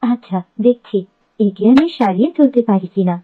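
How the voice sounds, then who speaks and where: A woman voicing a caring, grave magical queen in an animated fairy tale, with a thin, phone-like sound. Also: mid-range voice, moderate pace, a little hiss or hum, natural voice. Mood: concerned. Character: concerned, caring queen with magical healing powers.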